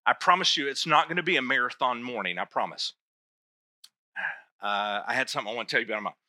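A man's voice: medium pitch, fast talker, pushed and bright, dry recording, clean studio sound. A middle-aged man preaching a sermon to his church, talking in a loose, conversational way with self-deprecating asides. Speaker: pastor.

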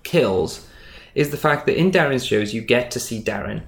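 A man speaking casually as an interviewed stage magician, emphatic and animated. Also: mid-range voice, moderate pace, gravelly. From Wales. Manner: animated, emphatic, acts out imagined dialogue.

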